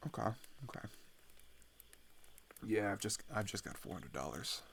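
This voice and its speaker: A young man playing a weary teenage boy fleeing his homeland, speaking haltingly in an intimate, naturalistic audio roleplay. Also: on the low side, animated, gravelly, fairly bright tone, slight background noise. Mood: uncertain, anxious. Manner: hesitant, halting, sighing, trailing off.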